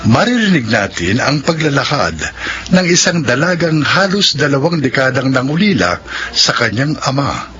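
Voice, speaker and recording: A man's voice: medium pitch, sing-song, moderate pace, a bit bright. A man announcing a radio drama with a scripted, dramatic introduction. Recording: muffled radio broadcast over music.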